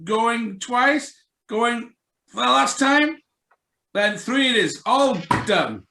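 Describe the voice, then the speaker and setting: A man plays a brisk auctioneer in a radio play. His voice is high and bright, swinging widely as he calls bids and firmly refuses argument. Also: animated, creaky, heavily acted. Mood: rousing. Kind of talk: radio drama script reading. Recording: live video-call recording.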